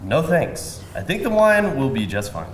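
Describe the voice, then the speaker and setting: Male interviewer, acted by a man: charming, chatty, formally polite, very animated pitch, echoey live reading. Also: normal speed. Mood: assured. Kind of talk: live screenplay table read. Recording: echoey live room, faint noise.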